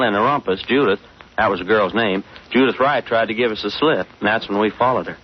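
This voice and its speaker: Husky, brash radio sidekick. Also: male voice, lowish voice. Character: brash, slangy tough sidekick, eager and excitable. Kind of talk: 1940s radio acting. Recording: muffled old radio recording.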